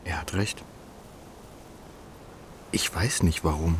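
An actor playing a brooding, detached writer lost in his illness, speaking a deliberate, sombre radio drama monologue. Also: sits low. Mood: melancholy. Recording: clear hiss behind the voice.

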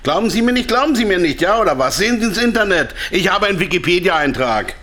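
A man's voice: high voice, very animated, quick pace, sharp tone, heavily acted. An actor playing a defensive, indignant male pharmaceutical salesman in a radio drama, businesslike but agitated. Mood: agitated, pleading. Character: flustered, indignant pharmaceutical salesman under questioning. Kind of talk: German radio drama acting.

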